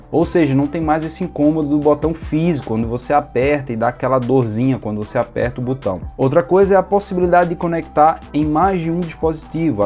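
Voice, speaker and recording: A man's voice: a little high, dark tone. A man with a Brazilian Portuguese accent giving an informal, organized product review in a conversational, explanatory way. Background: background music.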